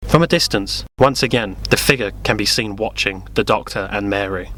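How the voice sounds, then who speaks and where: A man with a fairly low voice narrates a scripted audio drama. He reads as the storyteller, with clearly audible music underneath. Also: normal speed, bright, forceful tone.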